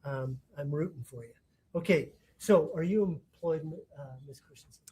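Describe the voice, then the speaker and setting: Man, courtroom prosecutor, warm dark tone, methodical but halting questioning. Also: a little high, breathy edge, rough recording. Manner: halting, rephrases questions mid-sentence.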